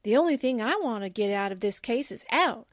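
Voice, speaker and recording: A woman playing a sarcastic, slangy, excitable female sidekick in a radio drama. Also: fairly high pitch, fairly quick, no room sound, studio quality, natural voice. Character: wisecracking, jumpy, sarcastic female newspaper sidekick.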